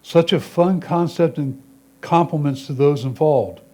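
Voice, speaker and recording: A man's voice: a little high, unhurried, darkish tone. A man reading written photo critiques aloud at a club competition. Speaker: reader of judges' critiques.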